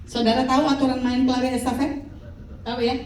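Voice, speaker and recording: A woman in her fifties preaching a lively sermon, calling out to her congregation for responses. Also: high voice, fast, a little hiss or hum. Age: 50s. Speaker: pastor. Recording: echoey hall, mic from far off.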